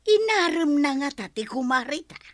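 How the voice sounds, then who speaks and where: A woman's voice: high-pitched, lively intonation, normal speed, dry recording, studio quality. An actress playing a chatty, teasing village woman in a comedy radio drama, speaking with an Ilocano accent.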